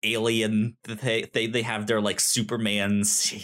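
A man co-hosting a podcast in casual chat, speaking haltingly and repeating words as he goes. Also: fairly low pitch, flat delivery, a bit bright. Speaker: podcast co-host reviewing comics.

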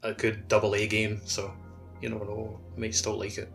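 A man with a fairly low voice chats as a co-host on a gaming podcast. His delivery is informal and hedging, and music plays behind him. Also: completely flat delivery, a little fast, gravelly, a bit bright. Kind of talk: casual gaming podcast chat. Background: background music.

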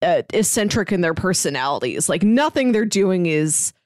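A woman's voice: fairly low pitch, big pitch swings, normal speed, a little mellow, no echo, clean studio sound. A woman co-hosting a podcast, casually discussing a film in a conversational, easily amused way. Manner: conversational, often laughs while talking. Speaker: podcast co-host.

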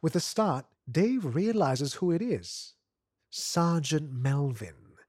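A man reading literary fiction aloud as an expressive narrator. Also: mid-range voice, husky, no room sound, studio quality. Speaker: story narrator.

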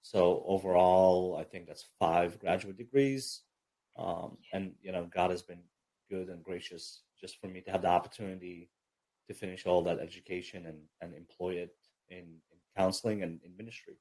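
A man from Egypt, an army chaplain and counselor, talking casually in an interview with frequent fillers and a relaxed, self-deprecating manner. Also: low voice, normal speed, poor audio.

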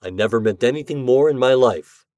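A man voicing a determined male propagandist writer who talks in a forceful, snapping way. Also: medium pitch, lively intonation, brisk, no room sound, pitched above the performer's own voice, lightly acted.

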